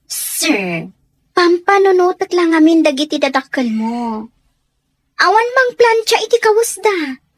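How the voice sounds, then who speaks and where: A woman acts out a young housemaid in an Ilocano radio drama, her voice very high and girlish. She is shy and deferential, speaking to her employers with timid respect. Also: big pitch swings, a little slow, long pauses, no room sound, exaggerated voice. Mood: respectful, concerned.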